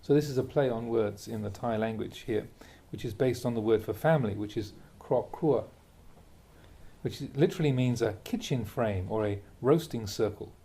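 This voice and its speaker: A man, a Buddhist monk, reads a translated spiritual talk aloud in a measured, emphatic way, posing rhetorical questions. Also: mid-range voice, dark tone. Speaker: Buddhist monk reading a teacher's talks aloud. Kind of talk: reading a translated Dharma talk aloud, with brief asides.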